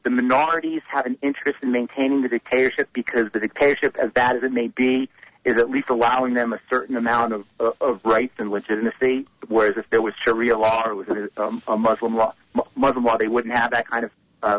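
A man co-hosting a call-in radio show, who asks his questions quickly and stumbles over them. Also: medium pitch, little pitch movement, dry recording, dull audio. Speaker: radio co-host.

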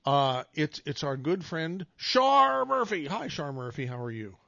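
A man's voice: a little high, sing-song, unhurried, gravelly, pushed and bright, no room sound. Rambling talk radio host man. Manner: rambling, jokey, restarts phrases often. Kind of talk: casual call-in radio chat. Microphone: studio microphone. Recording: dull, dry radio studio sound.

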